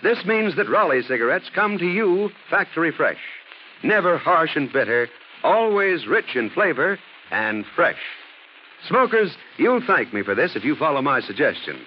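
A man working as a radio announcer, delivering scripted introductions and sponsor pitches in 1940s style. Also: very animated, moderate pace. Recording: muffled, slightly noisy old radio recording.

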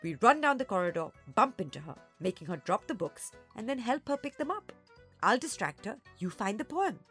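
A woman's voice: on the high side, very animated, brisk, fairly bright tone, soft background music, voice pushed up. A woman voicing a bold schoolgirl who schemes with her best friend, urgent, bossy and conspiratorial, in an audiobook.

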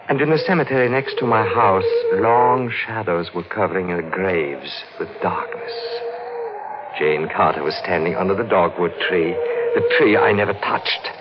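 Low-voiced, sinister cemetery caretaker. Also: male voice. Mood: brooding narration. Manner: courteous, menacing, dwelling morbidly on flowers and death. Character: soft-spoken, sinister cemetery caretaker, secretly a murderer. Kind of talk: old-time radio thriller acting and narration. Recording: muffled, noisy 1940s radio recording.

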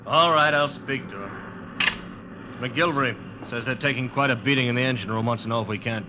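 Actor as a dutiful ship's officer, muffled old radio. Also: male voice, medium pitch, brisk, very noisy, close to an everyday voice. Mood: dutiful, concerned. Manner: deferential, crisp naval reporting. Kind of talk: 1950s radio drama acting.

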